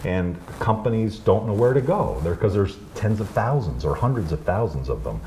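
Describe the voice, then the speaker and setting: A man's voice: on the low side, sing-song, normal speed, a little mellow, a little hiss or hum, low-quality recording. A man being interviewed about his organization, speaking in a casual, rambling way and often restarting his sentences. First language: English. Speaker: guest, chamber founder.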